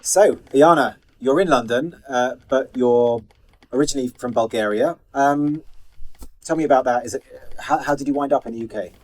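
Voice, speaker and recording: A man hosting an interview, putting questions in an informal, conversational way with halting restarts. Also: mid-range voice, darkish tone, soft background music, poor audio. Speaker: interview host.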